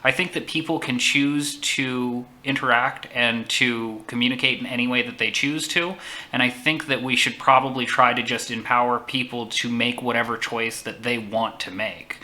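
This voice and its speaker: A man hosting a casual chat, speaking in a measured, deliberate way. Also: medium pitch, fairly even intonation, sharp tone. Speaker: host.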